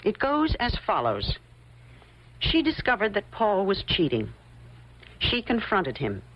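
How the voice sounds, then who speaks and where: A woman's voice: mid-range voice, wildly swooping pitch, unhurried. An actress playing a shrewd, coolly confident woman investigator in an old-time radio mystery, insistent and pointed. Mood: pointed, accusing. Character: shrewd, probing woman investigator laying out her theory. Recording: muffled old radio drama recording.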